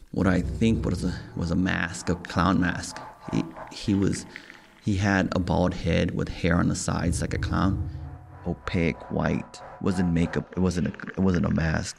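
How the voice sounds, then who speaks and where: Male storyteller, extremely creaky, monotone, halting first-person recollection. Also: sits low, a little hiss or hum.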